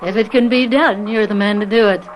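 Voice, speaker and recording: A woman's voice: mid-range voice, steady tone, a little fast, faint music behind, close to an everyday voice, rough recording. An actress in a radio drama playing a devoted, patient woman who speaks soothingly to her troubled sweetheart. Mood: soothing, tender.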